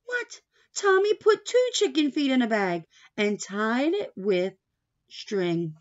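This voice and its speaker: A woman reading a picture book aloud to young children like a teacher, playful and expressive, with asides, questions and some Spanish words mixed in. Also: on the high side, slow, dull audio. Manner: playful, expressive, with gasps and exaggerated reactions; drops Spanish words in and translates them.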